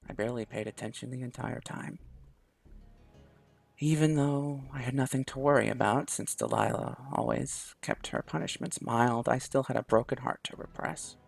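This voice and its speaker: A man reading a visual novel aloud in a measured, storytelling manner. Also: medium pitch, gravelly, faint music behind. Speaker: game streamer.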